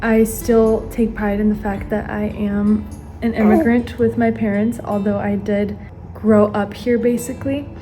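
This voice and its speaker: A woman's voice: medium pitch, level tone, a little slow, mellow, faint music behind. A woman in her early twenties, a stay-at-home mom born in Ukraine and raised in the US, chatting earnestly to the camera while she does her makeup. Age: 20s. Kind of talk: casual get-ready-with-me chat to camera. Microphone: camera facing her, she watches herself as a mirror.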